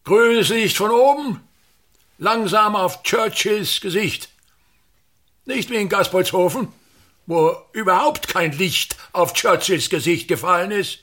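An actor playing a pompous, bitter, tyrannical ageing actor-manager in a radio play, declaiming and haranguing with a husky voice. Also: male voice, high voice, slow, a bit bright, full character voice. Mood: bossy, exacting. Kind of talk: theatrical radio play monologue.

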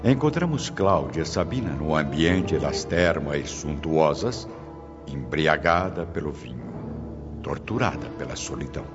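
Male narrator, deep voice, sweeping intonation, solemn storytelling, dull audio, prominent music. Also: very animated, a little slow. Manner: dramatic, solemn, formal. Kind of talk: scripted radio drama narration.

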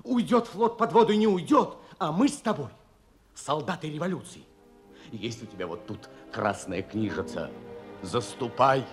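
An actor playing a teasing but steadfast young naval officer in a Russian radio play, speaking in an emphatic, rhetorical way. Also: male voice, mid-range voice, animated, moderate pace, music in the background, close to an everyday voice. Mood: earnest, firm.

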